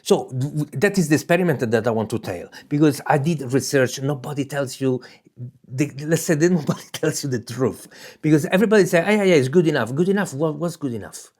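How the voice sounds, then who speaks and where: A man's voice: fairly high pitch, animated, a little fast, a little mellow. A man with an Italian accent talking through local AI hardware in an unscripted way, with many fillers, restarts and small laughs. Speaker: tech video host. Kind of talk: casual unscripted explainer.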